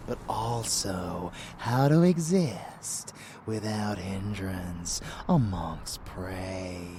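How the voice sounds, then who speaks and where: A man playing a haughty, seductive and menacing vampire lover in an intimate ASMR roleplay, with breathy, teasing murmurs. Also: on the low side, darkish tone, a little hiss or hum. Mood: sinister, instructive. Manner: breathy whispering murmurs, slow and deliberate, teasing.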